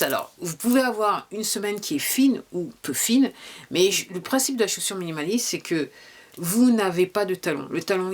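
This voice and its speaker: A woman in her fifties talking casually to camera about her shoes. She rambles, restarts often and fills gaps with "euh" and "voilà". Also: mid-range voice. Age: 50s. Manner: rambling, many restarts and fillers. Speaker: vlogger.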